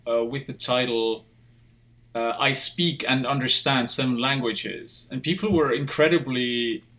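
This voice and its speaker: A man's voice: mid-range voice, pushed and bright. A man hosts a podcast conversation with a mid-range voice. He talks in a loose, chatty way, often restarting his sentences. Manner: chatty, many fillers and restarts. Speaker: podcast host. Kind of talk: podcast interview.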